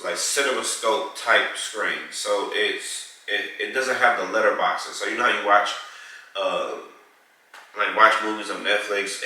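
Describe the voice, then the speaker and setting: Congested man with a bright, echoey voice. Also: on the low side. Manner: rambling, self-correcting. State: congested, nasal from a head cold. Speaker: vlog co-host. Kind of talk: casual home tour chat. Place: large home theater room, indoors. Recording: echoey room.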